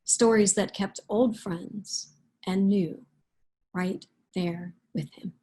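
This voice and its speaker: A woman with a soft, breathy voice, speaking in a thoughtful, unhurried manner as a picture book author. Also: mid-range voice, fairly even intonation. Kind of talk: read-aloud storytelling and reflective interview talk.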